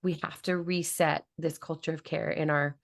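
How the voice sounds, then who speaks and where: Low-voiced woman hosting a reflective talk. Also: fairly even intonation, moderate pace, vocal fry. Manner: reflective, thinks aloud in long questions. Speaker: podcast host. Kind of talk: casual interview conversation.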